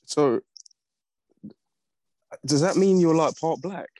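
A man's voice: fairly high pitch, slow pace, mellow. A young man, chatting casually as a co-host. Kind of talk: casual chat.

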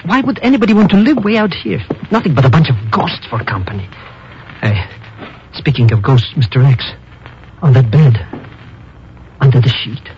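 An actor playing a nervous, grumbling comic sidekick with an American accent in a 1950s radio drama. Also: male voice, medium pitch, expressive, normal speed, long pauses, natural voice. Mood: jittery. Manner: nervous, slangy, complaining. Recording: muffled old radio recording, slight hiss.

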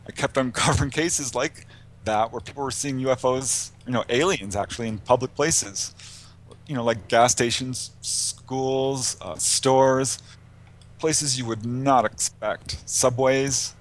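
Give a man's mid-pitched voice in a conversational interview, the guest researcher telling case stories. He speaks informally and anecdotally, with a lightly amused, chuckling manner. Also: a little slow.

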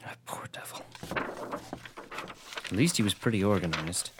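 Low-voiced, earnest young man. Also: animated, moderate pace, noisy background. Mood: troubled, intrigued. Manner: anxious, hushed, intense. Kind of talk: radio drama acting.

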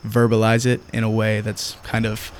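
A man's voice: fairly low pitch, completely flat delivery, a little hiss or hum. Hesitant young man asking questions. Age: young adult. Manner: hesitant, halting questions, laughs often. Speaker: interview host. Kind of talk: casual interview chat.

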